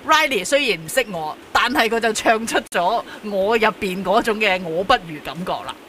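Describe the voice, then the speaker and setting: Lively Hong Kong Cantonese woman radio host. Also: medium pitch, brisk, slight background noise. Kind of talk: casual radio interview chat. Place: radio studio. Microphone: close broadcast microphone, wearing face mask.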